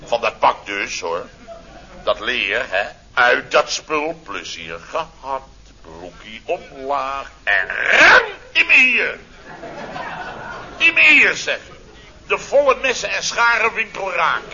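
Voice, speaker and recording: Blustering male boss for radio comedy: forceful, swooping delivery, self-important, dull old recording. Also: medium pitch, huge pitch swings, slow, a little hiss or hum, heavily acted. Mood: aggrieved. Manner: theatrical, exclamatory, sarcastic, mimics others in his telling.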